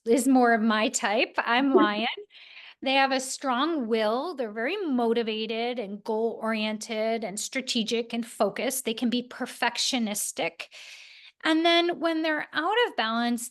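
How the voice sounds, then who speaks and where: Higher-pitched woman hosting a podcast. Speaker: podcast host. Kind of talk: casual podcast conversation.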